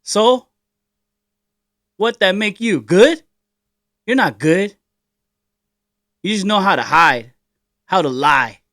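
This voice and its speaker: A man voicing a swaggering, defiant gangster, loud and sneering, on a podcast. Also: sits high, expressive, takes it slowly, long pauses, no room sound, studio quality, voice pushed up, exaggerated voice. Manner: loud, sneering, punchy delivery. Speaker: podcast co-host.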